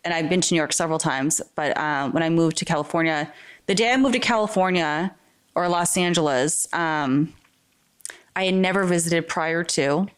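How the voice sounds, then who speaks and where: A chatty middle-aged woman from New Hampshire, her voice sitting a little low. She talks in a loose, casual way, with plenty of fillers and self-corrections. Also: moderate pace, clear, resonant tone. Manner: chatty, many fillers and restarts. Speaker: interview guest. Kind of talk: casual conversation.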